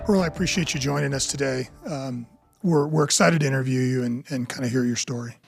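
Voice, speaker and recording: A man's voice: medium pitch, a little fast, creaky, faint music behind. A man co-hosting a podcast, asking a guest direct, friendly interview questions.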